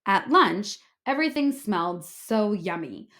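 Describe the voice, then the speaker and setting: A woman reading a children's story aloud as an expressive narrator. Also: mid-range voice, unhurried.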